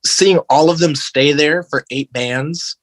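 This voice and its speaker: A man's voice: a little high, slow, no echo. Earnest American man chatting, dry close sound. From American Midwest. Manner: earnest, informal, slangy, filler-heavy with "like". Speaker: podcast guest, band guitarist. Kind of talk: casual interview chat.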